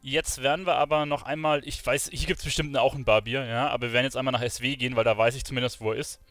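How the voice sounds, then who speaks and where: A man's voice: mid-range voice, fairly even intonation, fast, fairly bright tone, no echo, faint music behind. A man giving casual let's play commentary as a gaming streamer.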